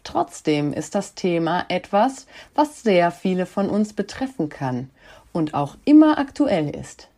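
A woman hosting a student program, reading a scripted introduction in two languages with a lively announcing tone. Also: lowish voice, a little slow. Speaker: program host.

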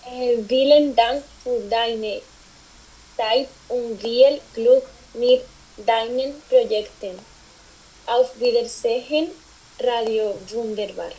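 A woman's voice: a little high, fairly even intonation, slow, mellow, low-quality recording. A young woman, a student podcast host, carefully reading her interview questions. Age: young adult.

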